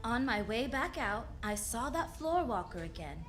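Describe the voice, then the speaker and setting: A woman's voice: on the high side, sing-song, normal speed, over loud music. A woman playing a wisecracking, confident detective who tells her case in sassy slang, in old-time radio drama style. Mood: irked, sassy. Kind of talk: 1940s radio drama acting.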